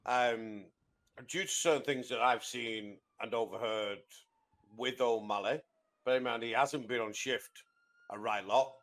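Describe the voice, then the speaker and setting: A man's voice: medium pitch, steady tone, unhurried, a bit bright. A British man in a roleplay police meeting who talks bluntly and complains about a colleague, swearing freely. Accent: British English. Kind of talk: in-character roleplay meeting talk.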